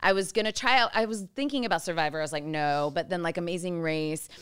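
A woman's voice: lowish voice, fast, a bit bright, smooth. A woman in her thirties in a casual chat, talking with lots of "like" and laughing at herself. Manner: chatty, self-mocking, laughs often, lots of "like".

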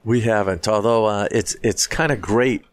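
A man's voice: lowish voice, moderate pace. A man co-hosting a casual chat, joking and blunt in his opinions. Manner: jokey, blunt, lively. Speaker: podcast co-host. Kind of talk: casual banter.

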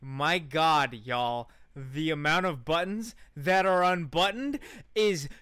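Crisp, bright male podcast storyteller. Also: a little high, slow pace, no echo, studio quality. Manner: irreverent, sweary, joking. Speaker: podcast co-host. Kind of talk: casual comedic storytelling.

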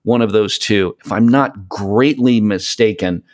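A man's voice: on the low side, measured pace, a little mellow. A man chatting as a podcast guest, explaining things in an enthusiastic, rambling way. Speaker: podcast guest, voice of an audio show. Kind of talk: casual conversational interview.

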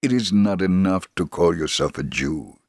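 Devout Holocaust survivor in radio memoir drama: low pitch, earnest and measured, firm conviction, close dry sound. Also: male voice, lively intonation, creaky, no room sound, exaggerated voice. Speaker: dramatic reader. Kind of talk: dramatic reading of a first-person memoir.

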